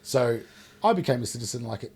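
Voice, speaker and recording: A man's voice: mid-range voice, lively intonation, quick pace, creaky, darkish tone, slightly rough. A man co-hosting a casual podcast, talking informally and hesitantly, often repeating himself. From Wales, later Australia. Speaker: podcast host. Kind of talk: casual unscripted podcast discussion.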